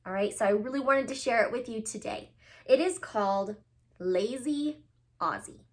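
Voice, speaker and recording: A woman teacher talking to young children and reading a storybook aloud in an expressive, emphatic way. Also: fairly high pitch, moderate pace.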